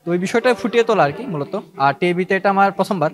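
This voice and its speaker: Male interviewee, Bangladeshi accent, high voice, rapid unscripted explanation. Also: animated. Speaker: cartoonist interviewee.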